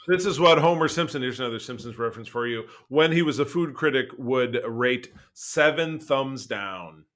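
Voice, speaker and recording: A man's voice: mid-range voice, normal speed, fairly bright tone. An American man in his forties co-hosting a podcast, chatting casually in an exuberant, joking, rambling way. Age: 40s. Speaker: podcast co-host. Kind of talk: casual chat.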